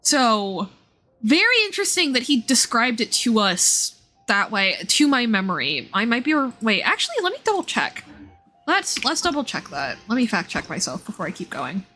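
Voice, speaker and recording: A woman's voice: on the high side, very animated, moderate pace, sharp tone, soft background music. A woman livestreaming a game, chatting casually and enthusiastically about its lore as she rambles and thinks aloud. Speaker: live streamer.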